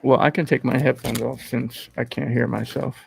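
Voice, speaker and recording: Gravelly New man, chatting casually. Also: medium pitch, flat delivery, darkish tone, slight background noise, low-quality recording. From Bronx, New York. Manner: informal, halting, thinking aloud. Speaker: host of an online entertainment interview channel. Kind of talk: casual livestream chat answering viewers.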